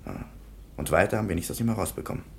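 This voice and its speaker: Deep gravelly police commissioner, tired, dry and matter-of-fact. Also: male voice, rapid-fire, slight background noise. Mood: stern. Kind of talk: radio play acting.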